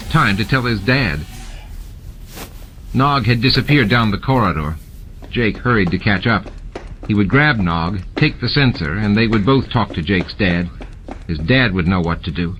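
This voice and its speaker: A man's voice: lowish voice, fairly bright tone. A man narrating a scripted story as an audiobook reader. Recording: muffled, with clear background noise. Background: noise and ambient sound effects.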